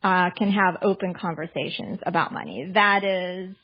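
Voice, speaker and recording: A woman's voice: fairly low pitch, normal speed, slightly rough, highs cut off. A woman in her forties from Colombia, a guest author talking casually in an interview, chatty and affirming, with "uh" fillers.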